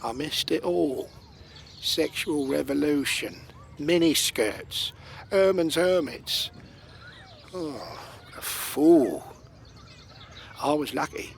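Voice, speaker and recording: Gravelly, slow older London ex-con, played by a man. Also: fairly high pitch, animated, slight background noise, full character voice. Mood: grave, warning. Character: older male ex-convict villain, fatherly, gravely cautioning. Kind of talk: radio play dialogue.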